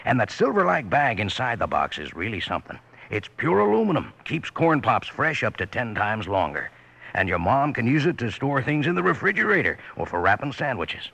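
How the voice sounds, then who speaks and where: An actor playing a hearty old cowboy announcer with a Western American accent and gravelly voice, giving a vintage radio pitch. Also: male voice, very animated, a little fast, bright, forceful tone, full character voice. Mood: hearty, folksy. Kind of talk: 1950s radio advertising pitch.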